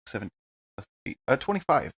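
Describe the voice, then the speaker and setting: A man's voice: medium pitch, sing-song, brisk, a bit bright, muffled recording. A man playing a tabletop role-playing game, talking casually and breaking into in-character outbursts.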